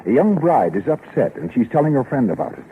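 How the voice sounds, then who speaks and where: A man's voice: mid-range voice, big pitch swings, a little fast, a little hiss or hum. A man reading scripted 1930s radio advertising as an announcer, on a muffled old recording.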